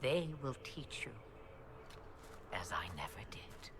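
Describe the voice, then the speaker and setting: An actress playing an ancient, imperious witch goddess in a game, speaking slowly and cryptically. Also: very deep voice, sing-song, in a whisper, full character voice. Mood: cryptic, imperious. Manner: slow, cryptic, formal. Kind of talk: video game drama acting. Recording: noisy, game ambience behind.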